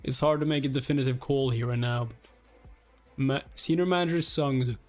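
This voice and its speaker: A man reading aloud the part of a commanding, coolly decisive male executive in a webtoon, formal and measured. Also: mid-range voice, faint music behind, close to an everyday voice. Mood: probing, formal. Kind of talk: dramatic read-aloud of webtoon dialogue.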